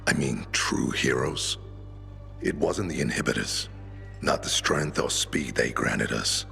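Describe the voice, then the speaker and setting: An actor playing a weary older veteran leader of survivors in a video game cutscene, grave and reflective, with a rough, gravelly voice. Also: male voice, very deep voice, unhurried, bright, forceful tone, soft background music, exaggerated voice. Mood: earnest, solemn.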